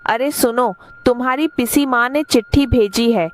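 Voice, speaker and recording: A woman's voice: on the high side, moderate pace, over loud music, lightly acted. A woman voicing a fretful, dutiful village mother who explains things in a cartoon story. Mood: exasperated, worried. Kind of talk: Hindi cartoon story dubbing.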